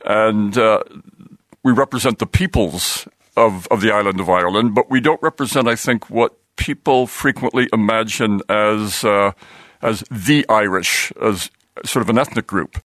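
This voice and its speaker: A man's voice: fairly low pitch, moderate pace, a bit bright, no room sound. An American man, a professor guesting on a radio interview, explaining his ideas and thinking aloud as he talks. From United States.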